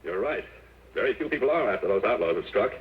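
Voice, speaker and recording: A steadfast frontier Ranger captain played by a male actor gives orders in a fairly low voice, quick and authoritative. The sound is that of a tinny old western radio play with some hiss. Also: expressive, lightly acted. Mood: concerned. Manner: brisk, authoritative.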